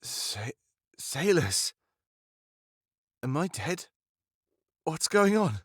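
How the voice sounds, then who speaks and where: A man voicing a weak, confused male animal character waking from injury in a visual novel, halting and bewildered. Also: a little high, very animated, husky, voice pushed up, full character voice. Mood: dazed, confused. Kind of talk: visual novel read-aloud.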